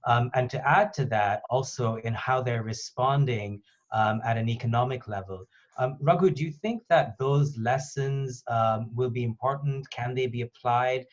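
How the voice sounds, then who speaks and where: A man's voice: mid-range voice, normal speed. A man moderating a panel discussion as host of a conference call. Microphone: conference call line. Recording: dull, band-limited conference call line.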